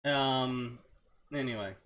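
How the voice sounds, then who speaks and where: A man's voice: medium pitch, slow pace, a bit bright. A man co-hosting a casual podcast, reading fanfiction aloud and breaking off with dry asides about its writing.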